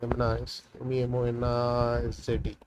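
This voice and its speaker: A man's voice: medium pitch, completely flat delivery, moderate pace, noisy background. A man whose first language is Tamil, talking through a coding session in a casual screen-recorded tutorial, thinking aloud with frequent fillers and "right?" tags. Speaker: programming tutorial host.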